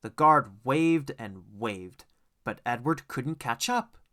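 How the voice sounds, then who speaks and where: A man narrating a scripted children's story as an audiobook, expressive and deliberate in his storytelling. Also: mid-range voice, no room sound, studio quality.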